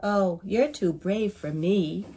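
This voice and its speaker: A woman voicing a timid but loyal little sister mouse from a storybook, in simple drawn-out childlike replies. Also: mid-range voice, warm tone, husky.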